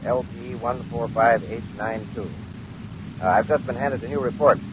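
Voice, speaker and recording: An actor playing a businesslike space patrol dispatcher, brisk and procedural, in a 1950s radio serial. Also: male voice, medium pitch, fairly even intonation, lightly acted. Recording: muffled old radio recording with heavy noise.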